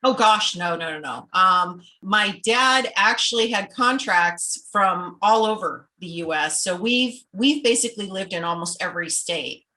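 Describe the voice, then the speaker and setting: Bright-voiced woman, candid and easygoing. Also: mid-range voice, lively intonation, a little slow, hardly any pauses. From United States, born in Los Angeles. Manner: candid, easygoing, explains patiently. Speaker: interview guest, business founder. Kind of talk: casual interview chat. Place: remote video call.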